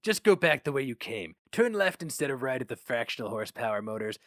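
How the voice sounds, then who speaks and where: A man voicing a brash, cynical, fast-talking male publicity boss, barking and emphatic, in a story reading. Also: medium pitch, big pitch swings, fairly bright tone, no room sound, clean studio sound. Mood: excited urgent.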